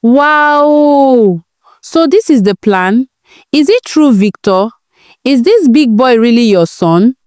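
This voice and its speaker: A woman's voice: high-pitched, animated, slow, darkish tone, no echo, studio quality, voice pushed up. A woman voicing a distraught, betrayed and defiant young wife in a told folktale. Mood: mocking, incredulous.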